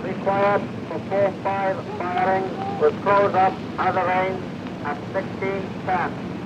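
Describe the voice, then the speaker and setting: A man's voice: sits high, fairly even intonation, slow pace. A man as a naval crewman calling out orders formally in a 1940s film. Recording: muffled noisy 1940s film soundtrack.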